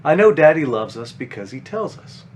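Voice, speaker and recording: A man's voice: fairly high pitch, big pitch swings, normal speed, a little mellow, a little hiss or hum. A man voicing a caring five-year-old big sister bunny from a children's picture book, explaining things simply and earnestly.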